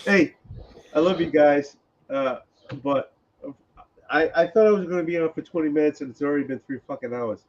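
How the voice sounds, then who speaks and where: A man with a somewhat high voice chats on a live stream, teasing his friends and swearing freely. The sound is dull and lo-fi. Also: moderate pace, pauses often, a little mellow. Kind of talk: casual chat on a live stream.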